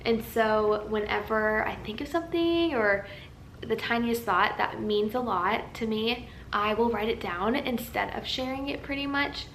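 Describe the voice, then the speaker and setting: Tired, slightly raspy young woman vlogging. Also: fairly high pitch, a little slow, fairly bright tone, roomy sound, a little hiss or hum, low-quality recording. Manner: chatty, rambling, earnest. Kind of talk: casual chat to camera. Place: large empty house used as a wedding venue.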